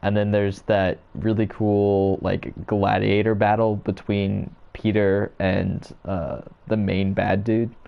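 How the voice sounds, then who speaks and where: Slow, gravelly young man, lo-fi sound. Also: on the low side, flat delivery. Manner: hesitant, halting, many fillers. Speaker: podcast co-host. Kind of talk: casual chat. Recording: dull lo-fi sound.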